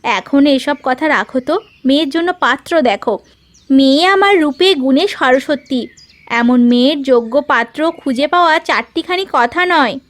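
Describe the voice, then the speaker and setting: A woman's voice: sits high, expressive, a little slow. A woman playing an insistent, chiding wife and mother in a cartoon story. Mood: nagging. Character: nagging, practical wife and mother of a grown daughter. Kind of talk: Bengali cartoon story dialogue.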